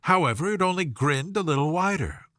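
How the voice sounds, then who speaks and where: A man narrating a bedtime story in a soothing, gentle, measured way. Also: on the high side, expressive, no room sound, clean studio sound.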